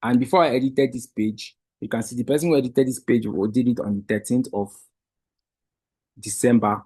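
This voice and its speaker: A man's voice: mid-range voice, fairly even intonation, moderate pace, warm tone, breathy edge, dry recording. A man whose first language is Igbo, patiently walking viewers step by step through a screen-share tutorial. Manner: patient step-by-step explaining with restarts. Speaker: Wikidata trainer.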